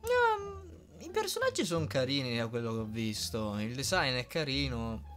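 A woman's voice: extremely low pitch, sing-song, moderate pace, fairly bright tone, soft background music. A young woman talking casually in an interview, giggly and blunt, often hesitating as she phrases answers. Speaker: amateur voice dubber and writer answering fan questions.

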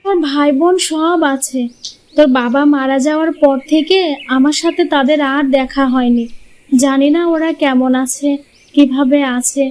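A woman's voice: high-pitched, steady tone, moderate pace, a little mellow, natural voice. A woman voicing a poor, weary widowed village mother in a cartoon, tender and plaintive, loving but doubtful. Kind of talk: Bengali moral-tale cartoon dubbing.

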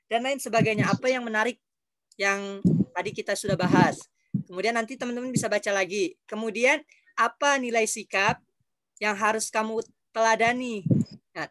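A man's voice: sits high, fairly bright tone, dry recording, low-quality recording. A male teacher explaining a lesson to his class on a video call, patiently repeating instructions.

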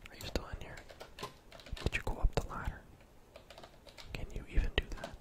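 A young man doing whispered ASMR game commentary in a breathy, unvoiced voice, murmuring to himself as he plays indoors. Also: fairly quick. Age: young adult. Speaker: gaming streamer. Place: indoor room at home.

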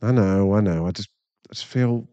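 A man's voice: on the low side, warm tone, no room sound, lightly acted. Casting: male actor as anxious, caring werewolf boyfriend for ASMR audio; close, dry, slightly dull recording, soothing and rambling. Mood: shaken, rambling. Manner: halting, rambling, soothing, breathy sighs.

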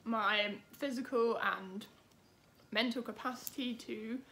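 High-voiced young British woman vlogging. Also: takes it slowly, bright, forceful tone, a little hiss or hum. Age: young adult. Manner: chatty, self-deprecating, informal. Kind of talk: casual vlog chat to camera.

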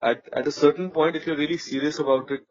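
A man's voice: on the high side, steady tone, fast talker, highs cut off. A man in his thirties from North India with an Indian English accent, a musician guest talking reflectively in a casual interview, with many fillers and restarts.

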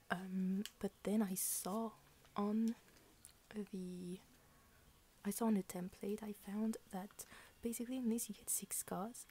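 A woman's voice: mid-range voice, warm tone, slightly rough. A tired young woman whispering at home, gushing and rambling as she unboxes collectible cards. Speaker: unboxing vlogger.

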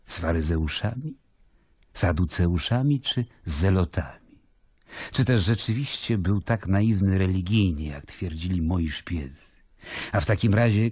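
An actor playing a weary, wary Roman governor in a radio play, brooding and disdainful as he voices his letters in measured phrases. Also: low-pitched, a little mellow, slightly rough, no echo. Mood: troubled, uneasy. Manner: brooding, measured, disdainful, dropping at times to near whisper. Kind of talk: dramatic monologue in a radio play, letter read aloud. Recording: music bed behind the voice. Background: music.

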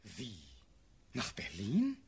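An actor playing an ardent, impetuous young prince in a classical radio drama, with a breathy, very husky voice and impassioned delivery. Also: male voice, lowish voice, wildly swooping pitch, extremely slow, a little mellow, full character voice. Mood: urgent.

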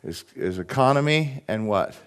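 A middle-aged pastor with a cold speaks from a church stage at a mid-range pitch. He preaches in a chatty way, often asking the congregation questions, and mixes a joke or two into the sermon. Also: expressive, normal speed, clean tone. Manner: conversational, asks the congregation questions. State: has a cold. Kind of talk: sermon with jokes.

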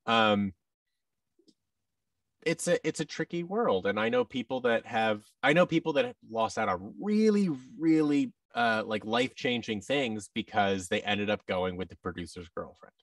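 An American man speaks in a fairly low voice as a podcast guest. He talks casually in halting runs, slipping into quoted dialogue. The sound is dry, with him a little back from the microphone. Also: animated, dry recording, distant mic. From United States. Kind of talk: casual interview chat. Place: large indoor room with tables, on a video call. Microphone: boom-arm microphone with headset.

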